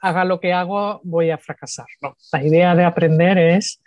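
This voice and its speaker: A woman from the United States with an Andalusian Spanish accent, talking as an interview guest in a reflective way, with pauses and tag questions. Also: lowish voice, steady tone, darkish tone, no echo. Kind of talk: conversational interview.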